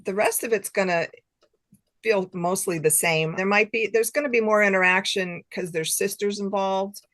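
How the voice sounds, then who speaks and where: A woman's voice: mid-range voice, normal speed, a bit bright, no echo, clean studio sound. A middle-aged woman, an author being interviewed, chatting casually and thinking aloud in loose, informal sentences. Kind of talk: casual chat.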